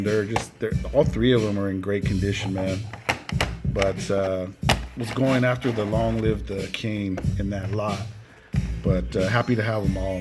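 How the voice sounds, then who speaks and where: A man hosting a casual show-and-tell of a collection, enthusiastic and often punctuating his phrases with "man". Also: fairly low pitch. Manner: enthusiastic, repeatedly tacks "man" onto phrases. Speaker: video host showing cassette collection. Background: music with guitar.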